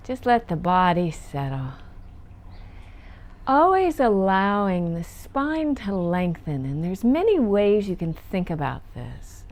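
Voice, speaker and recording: A woman leading a guided meditation as a teacher, speaking gently and soothingly and lingering on her phrases. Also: medium pitch, very animated, slow.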